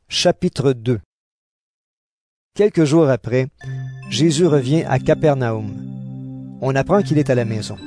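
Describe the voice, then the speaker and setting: A male narrator reads a scripted audio Bible drama. His voice sits a little high for a man, and music plays clearly behind the narration.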